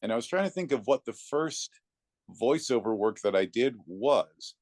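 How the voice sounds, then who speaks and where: A man's voice: mid-range voice, expressive, normal speed, darkish tone, no room sound, clean studio sound. A middle-aged or older man, a voice actor, telling anecdotes casually as an interview guest.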